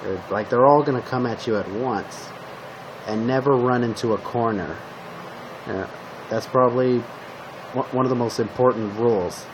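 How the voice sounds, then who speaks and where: A man plays a male zombie apocalypse survivor passing on tips in an improvised roleplay. His voice sits at an ordinary pitch and he talks in a conversational, matter-of-fact way, often halting and repeating himself. A clear steady noise runs behind the homemade recording. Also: close to an everyday voice. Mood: instructive, cautioning. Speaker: roleplay video host. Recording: steady background hum, noisy home recording.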